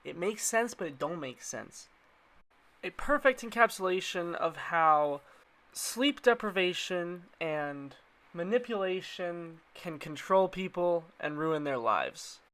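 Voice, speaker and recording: A man with a slightly high voice narrates an explainer video. He keeps it informal and conversational, dropping slang and playful asides into his commentary. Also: animated, takes it slowly, a bit bright.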